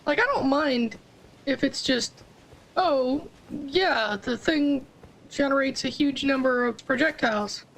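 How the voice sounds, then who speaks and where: A woman's voice: high-pitched, slow, creaky, poor audio. A woman streamer chatting casually as she plays, explaining game mechanics with frequent restarts. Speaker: gaming streamer. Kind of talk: casual gameplay commentary.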